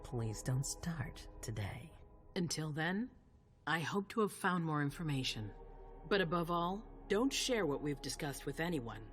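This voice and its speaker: A regal, commanding vampire woman, measured and calculating. Her low voice moves through a wide range, with faint music behind. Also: animated. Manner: measured, authoritative. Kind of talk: dramatic video game cutscene acting.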